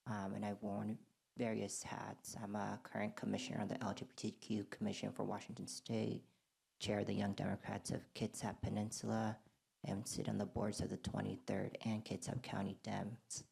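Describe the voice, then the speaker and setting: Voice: low voice, monotone, normal speed, vocal fry, darkish tone, breathy edge. A panelist introducing themself in a composed, formal way. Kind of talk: self-introduction.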